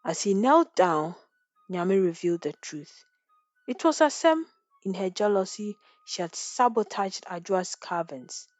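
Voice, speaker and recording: A woman's voice: medium pitch, lively intonation, a little slow, darkish tone, no echo, faint music behind. A woman storyteller narrating a folktale in a scripted, dramatic style.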